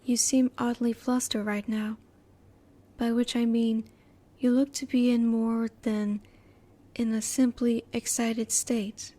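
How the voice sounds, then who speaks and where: A woman acts out a female alien in an intimate audio roleplay. Her voice sits slightly high yet is very soft-edged and relaxed. She is politely clinical and inquisitive, keeping her emotions in reserve as she speaks in measured, formal phrases. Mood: analytical, curious.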